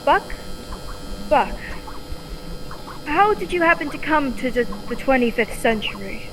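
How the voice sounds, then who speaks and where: A woman's voice: high-pitched, sing-song, measured pace. A young woman playing an eager, inquisitive young soldier heroine in an old-time radio serial. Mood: curious. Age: young adult. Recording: echoey hall with background noise.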